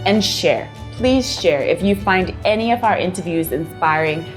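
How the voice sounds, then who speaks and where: A woman's voice: on the low side, normal speed, faint music behind. A young woman from Zimbabwe who speaks Shona as her first language, hosting an interview show and affirming her guest in long, emphatic questions. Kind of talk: warm encouraging interview and channel outro.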